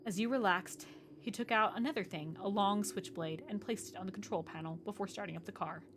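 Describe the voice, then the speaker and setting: A woman streaming a game, reading its text aloud and reacting in an animated, exasperated way, with a hoarse voice. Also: mid-range voice, brisk, noisy background.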